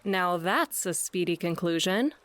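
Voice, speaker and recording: A woman's voice: on the low side, lively intonation, measured pace, a bit bright. Brooding, sardonic woman, played by an actress. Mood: wry, quiet. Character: brooding, sardonic woman aboard a tall ship, musing philosophically. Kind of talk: theatrical audio play acting.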